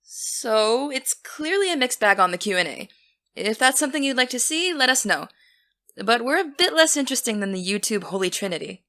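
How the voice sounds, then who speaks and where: A woman's voice: on the high side, brisk, full character voice. A woman playing an earnest teen podcast host. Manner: earnest, steady, businesslike. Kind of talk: scripted audio drama.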